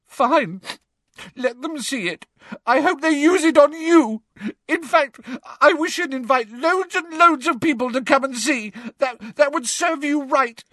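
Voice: mid-range voice, animated, measured pace, few pauses, sharp tone, no room sound, voice pushed up. A performer voicing a distressed teenage schoolgirl in an audiobook, tearful and stammering but defiant. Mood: shrill, defiant. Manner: sobbing, stammering, gasping delivery.

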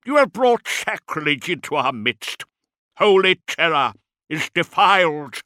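A man voicing a furious, sanctimonious high priest in an audiobook, screaming with pompous outrage. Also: big pitch swings, unhurried, pushed and bright, no room sound, clean studio sound, heavily acted. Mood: outraged.

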